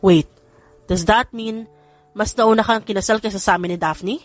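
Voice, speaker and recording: A woman's voice: medium pitch, normal speed, no echo, soft background music, natural voice. Woman voicing a petulant Filipino elder brother. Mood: disbelieving, sulky. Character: competitive, normally calm eldest brother.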